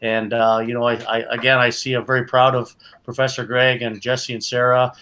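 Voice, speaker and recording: Bright, forceful man, rambling and earnest. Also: medium pitch, flat delivery, a little fast, a little hiss or hum. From Canada. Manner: rambling, earnest, repeats phrases. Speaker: jiu-jitsu coach. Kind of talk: casual chat.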